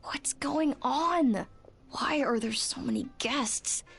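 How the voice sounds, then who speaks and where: A woman's voice: high voice, slow pace, vocal fry, exaggerated voice. A woman playing a weary, reluctant young business owner in an anime game, over faint music. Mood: weary, dismayed.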